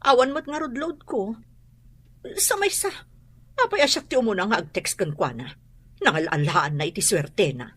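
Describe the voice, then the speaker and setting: A woman's voice: medium pitch, lively intonation, moderate pace, pauses often, fairly bright tone, breathy edge. A woman playing a sullen, defensive grown daughter with a gambling habit in an Ilocano radio drama.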